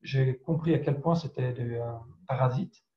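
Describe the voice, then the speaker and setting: A man's voice: medium pitch, normal speed. A man from France, a writer answering interview questions reflectively and hesitantly, searching for his words. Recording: dull, roomy, echoing sound.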